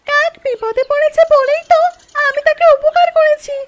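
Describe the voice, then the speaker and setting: A woman's voice: very high voice, steady tone, moderate pace, a little hiss or hum. A woman voicing a kind-hearted mother duck in a cartoon, with an earnest, coaxing manner. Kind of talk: cartoon voice acting.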